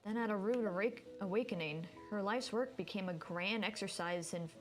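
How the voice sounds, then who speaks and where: Casting: woman game streamer, average pitch, casual read-along delivery with offhand commentary. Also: moderate pace. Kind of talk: reading game dialogue aloud with casual comments.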